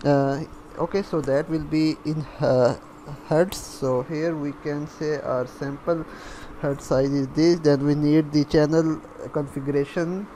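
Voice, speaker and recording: A man giving a step-by-step coding tutorial, halting as he thinks aloud while typing. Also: a little high, fairly even intonation, mellow, poor audio. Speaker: programming tutorial instructor. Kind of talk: step-by-step coding screencast narration. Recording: faint background noise.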